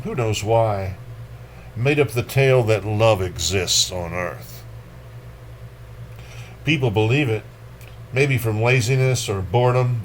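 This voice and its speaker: A man hosting a poetry reading, delivering verse in a dramatic, deliberate manner with casual commentary. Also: mid-range voice, slight background noise. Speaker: poetry reader and host.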